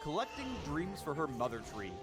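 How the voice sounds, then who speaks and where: A man's voice: a little high, very animated, fairly quick, over music. A man reading a playful scripted promotional narration for a video game character showcase. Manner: playful, punning.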